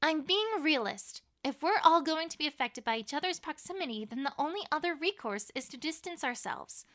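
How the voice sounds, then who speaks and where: A woman's voice: sits high, lively intonation, a little fast, a bit bright. A woman voicing an anxious, bookish young female pony in a dramatised story, with a stammering, dramatic manner. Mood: grim, resigned. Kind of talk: dramatised fan fiction reading.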